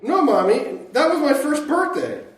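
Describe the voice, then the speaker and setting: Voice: high-pitched, huge pitch swings, moderate pace. An adult performer voicing an innocent, easily upset little boy who asks questions in a radio comedy sketch. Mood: innocent worry. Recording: very echoey live room, distant mic.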